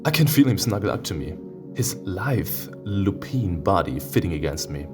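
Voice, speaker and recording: A man's voice: sits low, very animated, normal speed, over music. A man reading as an introspective animal narrator in a romance visual novel, in an earnest, tender, reflective manner.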